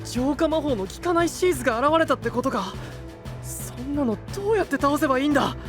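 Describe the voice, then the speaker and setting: Fiery young anime fighter, high, swooping, exclamatory, music behind. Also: male voice, animated, fast. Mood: agitated. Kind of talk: Japanese anime audio drama acting.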